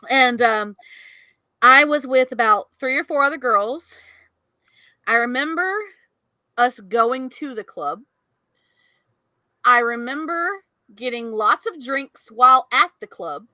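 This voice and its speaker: A woman co-hosting a podcast, telling a story in an animated way and laughing often. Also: a little high, takes it slowly, long pauses, dry recording. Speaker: podcast co-host. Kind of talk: casual storytelling chat.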